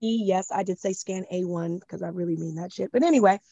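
A woman's voice: fairly low pitch, a little fast, creaky, warm tone, highs cut off. A woman guest on a podcast, running through her plugs in a breezy, matter-of-fact way. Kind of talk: casual chat.

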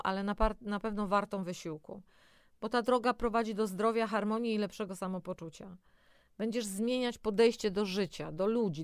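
A woman's voice: mid-range voice, clean tone, no room sound, clean studio sound. A woman presenting a self-help talk in an encouraging way, speaking directly to the listener, partly from a script with spontaneous asides.

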